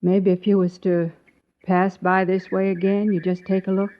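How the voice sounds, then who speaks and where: A woman's voice: mid-range voice, little pitch movement, normal speed, close to an everyday voice. An older woman playing a plain-spoken, firm, widowed pioneer mother in an old radio western. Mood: mournful, resigned. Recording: dull old radio recording.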